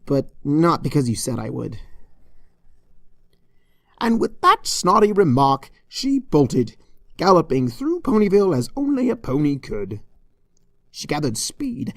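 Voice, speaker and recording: Comic audiobook narrator: arch, theatrical delivery, swooping intonation, mid pitch, dry recording. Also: huge pitch swings, measured pace, dry recording. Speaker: fan fiction narrator.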